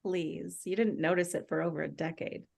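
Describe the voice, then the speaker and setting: An actress playing a tender, wistful wife and mother with a creaky voice in a drama table read. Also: lowish voice, fairly even intonation, normal speed, a little mellow. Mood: tender, scared.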